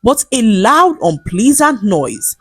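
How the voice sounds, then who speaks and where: A woman storyteller reading a scripted folktale in a measured, dramatic storybook manner. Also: a little high, very animated, slightly rough, dry recording, soft background music.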